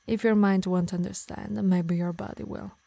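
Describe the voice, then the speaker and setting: A woman voicing a possessive, doting yet menacing harpy in an intimate ASMR roleplay, teasing and coaxing softly, often in whispers. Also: on the low side, steady tone, normal speed, no room sound. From Italy. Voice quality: breathy, soft. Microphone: close, dry microphone.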